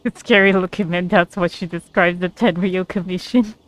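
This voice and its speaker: A woman's voice: on the low side, fairly even intonation, moderate pace, poor audio. A woman giving casual, offhand gameplay commentary as she plays. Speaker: gamer.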